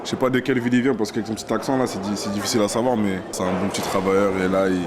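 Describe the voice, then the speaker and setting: Guy footballer chatting casually, talks fast, lowish voice. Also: fairly even intonation, noisy background. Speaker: football teammate. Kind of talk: casual chat.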